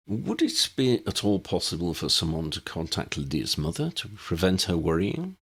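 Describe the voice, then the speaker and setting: A man voicing a gentle, courteous uncle with a formal, wordy and polite manner in an audiobook. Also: low-pitched, wildly swooping pitch, normal speed, husky, full character voice. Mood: concerned.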